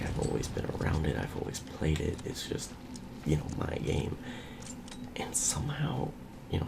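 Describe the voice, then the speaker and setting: A young man murmurs and whispers through his gameplay commentary, his voice nasal from a cold. His very low, gravelly voice swings widely in pitch, over a loud hiss. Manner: soft, rambling, half-whispered. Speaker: let's play host.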